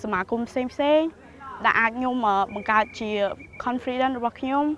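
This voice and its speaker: A woman's voice: high-pitched, very fast, fairly bright tone. A young woman giving an interview answer with hesitations. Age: young adult. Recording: dull, muffled audio with background noise.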